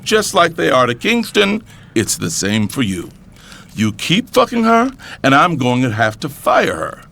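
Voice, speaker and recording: A man as a gravelly, commanding father boss. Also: mid-range voice, big pitch swings, unhurried, sharp tone, heavily acted. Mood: stern, exasperated. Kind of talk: audio drama dialogue.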